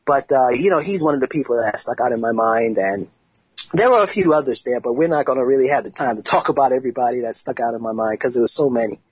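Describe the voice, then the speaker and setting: Husky-voiced man hosting an internet talk show. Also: mid-range voice, lively intonation, no echo. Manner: halting, many restarts and fillers. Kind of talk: casual podcast chat. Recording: dull lo-fi sound, dry.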